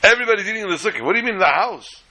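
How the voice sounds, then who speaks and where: A man's voice: high voice, expressive, rapid-fire, pushed and bright, voice pushed up, full character voice. A man playing a hungry, stubborn guest in a religious tale, exclaiming and arguing in rhetorical questions. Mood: indignant. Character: hungry, indignant male holiday guest, pleading and stubborn.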